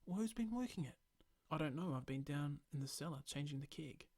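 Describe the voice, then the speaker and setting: A man voicing a male bar helper who answers quickly and defensively. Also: a little high, animated, a little mellow.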